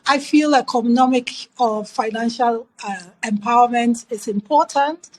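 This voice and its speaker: Middle aged lady w ghanaian accent, kinda high voice, interview guest telling her story, thoughtful n measured. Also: darkish tone. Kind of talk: personal life story in an interview.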